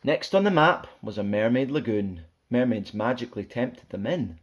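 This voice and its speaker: A man's voice: mid-range voice, expressive, normal speed, dull audio. Man reading a playful rhyming children's story. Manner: playful, theatrical, sing-song rhythm. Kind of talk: animated read-aloud of a rhyming children's story.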